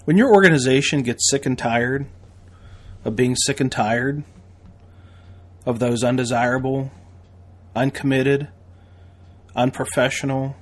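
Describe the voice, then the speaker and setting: Male, American, mid-pitched. Podcast host and instructor selling training in a folksy, emphatic monologue. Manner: emphatic, folksy sales delivery with repetition and rhetorical questions.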